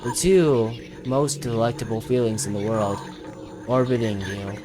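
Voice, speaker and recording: A man's voice: medium pitch, a little slow, background noise. A man commentating casually on his own video game playthrough, thinking aloud as he plays.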